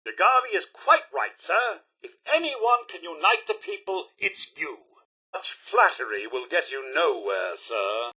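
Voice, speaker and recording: An actor playing a fussy, proper robot butler in a video game, with a British accent, a raspy voice and a formal, prim, theatrical delivery. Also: male voice, a little high, lively intonation, unhurried, bright, forceful tone, exaggerated voice. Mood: polite, earnest. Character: fussy, proper male robot butler, polite, easily indignant. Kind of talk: video game character voice lines.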